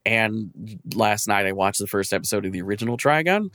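A man co-hosting a podcast in casual chat, talking in a halting, rambling and blunt way. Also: lowish voice, lively intonation, fairly bright tone, no room sound, studio quality. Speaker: podcast co-host.

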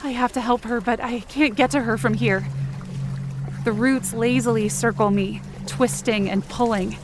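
A woman's voice: on the high side, steady tone, moderate pace, music in the background. A woman giving intense, theatrical first-person narration for a scripted fiction podcast, in short dramatic sentences. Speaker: writer and performer of fiction podcast.

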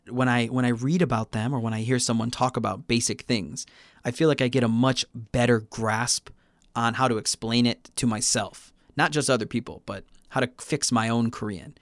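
A man hosting a casual podcast, talking in a relaxed, chatty way and laughing often. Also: medium pitch, no echo, clean studio sound. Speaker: podcast host.

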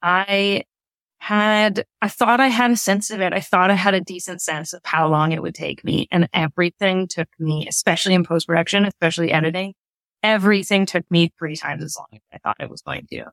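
A woman's voice: on the low side, lively intonation, fairly quick, fairly bright tone, no room sound. A woman talking casually as an interview guest, telling things anecdotally and repeating words for emphasis. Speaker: podcast guest, audio drama creator.

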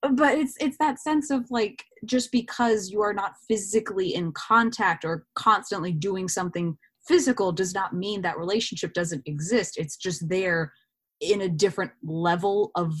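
A woman's voice: mid-range voice, moderate pace, husky. A woman talking casually in an interview, acting out imagined quotes as she explains things. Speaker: interview guest, actor.

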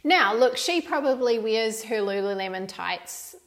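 A woman with a mixed New Zealand and Australian accent, hosting a solo podcast and talking in an animated, emphatic way. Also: a little high, unhurried. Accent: New Zealand with some Australian. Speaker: podcast host.

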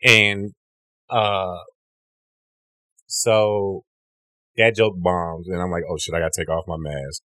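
Brief: young male comic, deep and low, rambling profane storyteller, dry close mic. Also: little pitch movement, slow pace, pauses often, pushed and bright, no echo. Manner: rambling, profane, restarts often, acts out quotes in a high voice. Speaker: comedian and podcast host. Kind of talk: casual storytelling chat.

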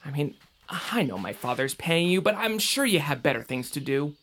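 A man's voice: a little high, big pitch swings, moderate pace, fairly bright tone. Earnest, doubtful young man played by an actor. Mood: earnest, downcast. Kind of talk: audio drama acting.

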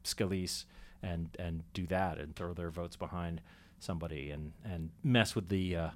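A low-voiced man explaining things. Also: normal speed. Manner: explaining, wry humor. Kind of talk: casual political chat.

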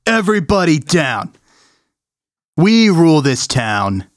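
A man's voice: a little high, big pitch swings, slow, no echo, clean studio sound, voice pushed up. A man voicing a menacing male ninja gang leader who bullies and taunts with snarling threats.

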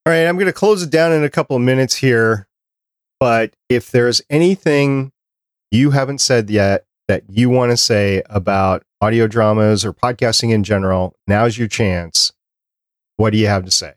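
A man's voice: mid-range voice, moderate pace, dry recording, clean studio sound. A man hosting a podcast interview, explaining and advising casually in a matter-of-fact way. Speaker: podcast host.

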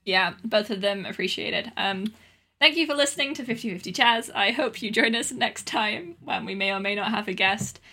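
Bright, forceful woman podcaster bantering. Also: mid-range voice, normal speed, husky. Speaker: podcast host.

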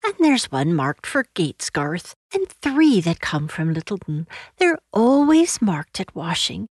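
A woman's voice: fairly low pitch, sing-song, unhurried, no room sound, studio quality. A woman voicing a stout, anxious hedgehog washerwoman from a storybook, polite, fussy and deferential. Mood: chatty, matter-of-fact.

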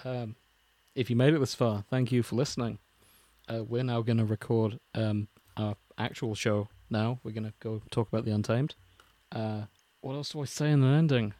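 A man co-hosting a podcast, reading fan fiction with a dry, deadpan delivery. His voice sits at a middling pitch and is recorded close and dry, with no room sound. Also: normal speed, dry recording. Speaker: podcast co-host reading fanfiction aloud. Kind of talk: casual podcast chat and dramatic reading.

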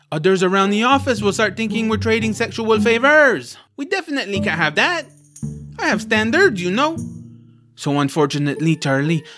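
A man's voice: high-pitched, pitched above the performer's own voice. A man voicing a smug, mock-regretful office director in an audio drama.

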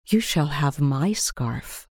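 A gracious lady of the castle voiced by a woman reader from a storybook. She speaks sweetly and formally, welcoming and encouraging, at an unhurried pace. The sound is dry and close. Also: low-pitched, lively intonation, dry recording, heavily acted. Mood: tender.

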